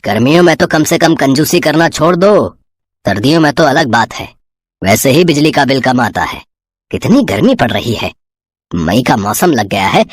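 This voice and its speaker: A woman voicing an easygoing older man in a cartoon, the father-in-law, who chides gently and sounds relaxed. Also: rumbling low, dry recording, clean studio sound, heavily acted. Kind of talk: cartoon voice acting.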